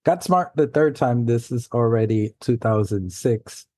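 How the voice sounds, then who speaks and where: A man's voice: mid-range voice, animated, measured pace, mellow, no room sound. A man in his forties from New York City, a native Spanish speaker, telling rambling, self-correcting stories as a guest author in a casual interview. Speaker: guest, science fiction author.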